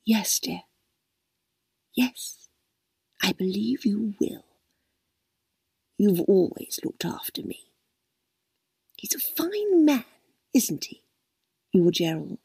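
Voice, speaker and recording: A woman voicing a frail, confused elderly lady who speaks in a hushed, breathy whisper. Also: mid-range voice, big pitch swings, slow pace, long pauses, no room sound, clean studio sound, exaggerated voice.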